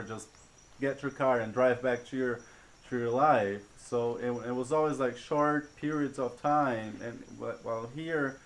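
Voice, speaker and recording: A man's voice: medium pitch, a little hiss or hum, poor audio. Hesitant man, outdoors. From Brazil. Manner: hesitant, many fillers and restarts. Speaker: retreat chef and participant. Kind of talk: testimonial interview.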